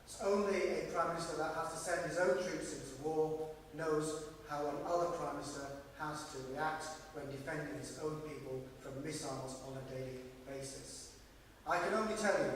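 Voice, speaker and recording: A man's voice: on the high side, slight background noise. A man giving a firm, emphatic answer as a candidate at a public hustings. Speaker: parliamentary candidate. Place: large echoey hall. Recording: echoey hall, mic far off.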